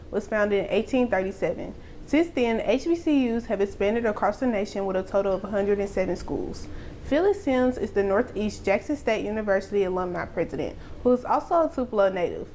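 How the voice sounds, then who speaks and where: A woman's voice: mid-range voice, lively intonation, a little fast, darkish tone. A woman reading scripted narration as the host of a program. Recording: clear background noise.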